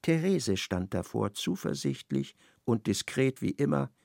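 A man narrating a literary radio play in a measured, vivid storytelling manner. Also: medium pitch, sing-song, vocal fry, a little mellow, no echo, studio quality.

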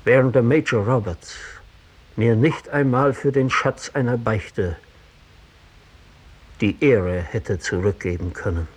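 An actor playing a sensitive, disgraced gentleman in a 1960s German radio play, confessing in measured, literary phrases with a husky voice. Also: mid-range voice. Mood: resigned, earnest.

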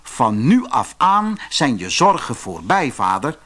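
A man's voice: mid-range voice, big pitch swings, takes it slowly, sharp tone, heavily acted. A man voicing a confident, eager young son in a storybook, instructing and sure of himself. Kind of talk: storybook character voice.

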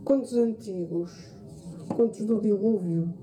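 Very mellow Portuguese woman performing a monologue. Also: mid-range voice, a little slow. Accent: European Portuguese. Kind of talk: theatrical radio-drama monologue. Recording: roomy echo with faint noise.

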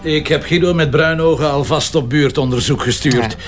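Male actor as wry Flemish detective chief, slightly high voice, casual questions, echoey room with faint noise. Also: moderate pace, over loud music, natural voice. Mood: probing. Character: dry, questioning male police commissioner. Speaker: police commissioner. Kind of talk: naturalistic audio crime drama acting. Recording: roomy echo, faint background noise.

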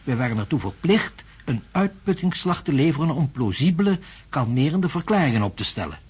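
An actor playing an impatient, self-assured professor of science in a radio drama, speaking formally and pedantically. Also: male voice, a little high, sing-song, fairly quick, a little mellow, husky, heavily acted. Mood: forceful. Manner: formal, pedantic, emphatic lecturing.

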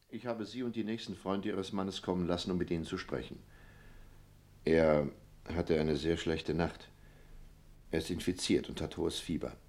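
Grave male physician, extremely deep voice, measured, formal, reassuring, radio play. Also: a little mellow. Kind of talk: German radio play acting.

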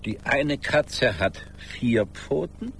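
An actor playing an earnest, slow-witted old gentleman, halting and puzzled, in a radio play. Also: medium pitch, animated, vocal fry, slight background noise, full character voice. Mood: puzzled, pondering. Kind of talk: 1980s German radio play acting.

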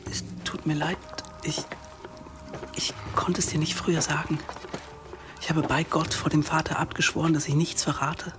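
A guilt-ridden young monk acted by a man in a radio play. He speaks haltingly and pleads as he goes, like someone ashamed. Music sits behind his voice. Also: on the high side, level tone, close to an everyday voice. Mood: guilty, pleading. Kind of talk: German radio play acting.

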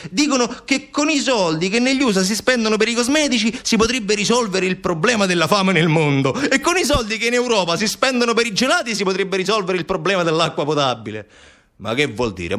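A man's voice: high-pitched, fairly bright tone. An actor playing a sarcastic, indignant man in a theatrical monologue, arguing colloquially with an unseen friend through rhetorical questions. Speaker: stage actor.

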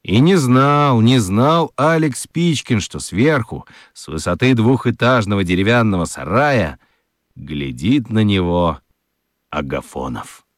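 A man's voice: fairly low pitch, lively intonation, unhurried, no echo, studio quality. A man narrating a children's radio play expressively from a script.

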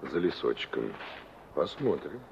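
An actor playing a wry, reflective young engineer in a radio play, speaking politely with a musing, ironic manner. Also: male voice, extremely low pitch, very animated, slow, vocal fry, noisy background, full character voice. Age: young adult. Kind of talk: radio play acting with inner monologue. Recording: dull old radio drama recording.

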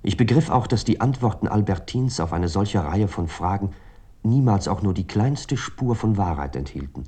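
A brooding, jealous lover narrates a literary radio drama. The voice is low, dark and husky. The delivery is measured and introspective, with a grave, tormented temper. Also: male voice, lively intonation.

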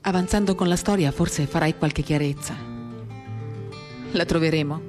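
A woman giving an intimate, measured dramatic reading of a literary text, pausing between short phrases. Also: low-pitched, a little mellow. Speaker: reader of a novel. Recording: guitar music under the voice. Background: acoustic guitar.

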